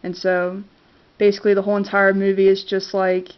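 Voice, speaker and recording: Woman vlogger with a cold, monotone, halting and filler-heavy, muffled home-camera sound. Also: medium pitch. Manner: hesitant, many fillers and restarts. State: congested with a cold, clearing her throat. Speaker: vlogger reviewing favorite movies. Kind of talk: casual talk to camera. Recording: dull lo-fi camera audio.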